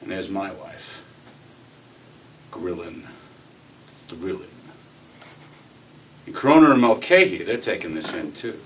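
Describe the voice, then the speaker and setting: An actor playing a cynical, swaggering corporate executive, telling his story in a theatrical monologue with deliberate pauses. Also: deep voice, very animated, full character voice. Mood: sardonic storytelling. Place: acting workshop room. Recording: distant mic with faint room noise.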